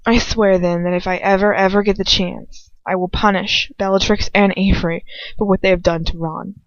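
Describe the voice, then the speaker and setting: A woman's voice: mid-range voice, steady tone, normal speed, no room sound. A woman narrating first-person fiction as an amateur audiobook reader, in an earnest, emotive, dramatic style. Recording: dull lo-fi home recording.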